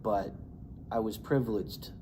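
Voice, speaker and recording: A man in his fifties from Indiana, an Army veteran introducing his veterans group and reflecting earnestly at a measured pace. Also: on the low side, background noise. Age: 50s.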